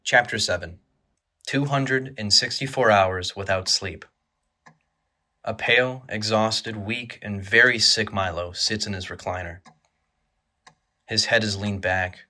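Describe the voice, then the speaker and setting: Low, crisp-edged bright male narrator. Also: steady tone, unhurried, pauses often. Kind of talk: scripted dramatic narration of a horror audio drama.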